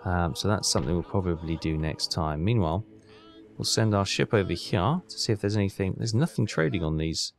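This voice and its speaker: A man's voice: low-pitched, lively intonation, a little fast, vocal fry, faint music behind. A man hosting a gaming video, giving casual commentary and thinking aloud in a chatty way as he plays.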